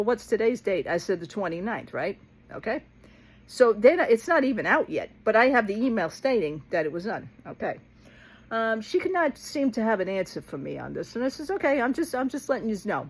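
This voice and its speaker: A woman's voice: medium pitch, rough recording. A woman talking casually to her followers in a rambling vlog rant, blunt, exasperated and colloquial, retelling conversations as she goes.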